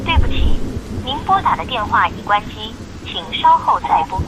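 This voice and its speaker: A woman's voice: on the high side, very animated, moderate pace, background noise. A woman voicing a polite, formal automated phone announcement. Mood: polite, neutral. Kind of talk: scripted recorded announcement.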